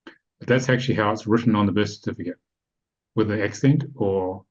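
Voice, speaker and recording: Low husky man teaching a webinar. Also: fairly quick. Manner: explanatory, asks the audience checking questions. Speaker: webinar presenter. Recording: dull lo-fi online call audio.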